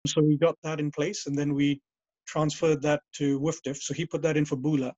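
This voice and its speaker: A man's voice: on the high side, little pitch movement, brisk, mellow, no echo, highs cut off. A man being interviewed, telling long stories about the old days and often correcting himself mid-sentence. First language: English. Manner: rambling, self-correcting storyteller. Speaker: guest. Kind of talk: casual interview over a call.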